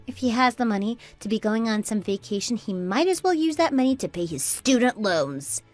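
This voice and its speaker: Casting: fed-up young wife and mother, played by a woman, fairly high voice, argumentative, radio drama, dry sound, faint music beneath. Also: expressive, moderate pace, no room sound, natural voice. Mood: outraged, bitter. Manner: argumentative, sarcastic, pointed. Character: indignant, fed-up young daughter-in-law and mother. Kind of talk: scripted radio drama dialogue.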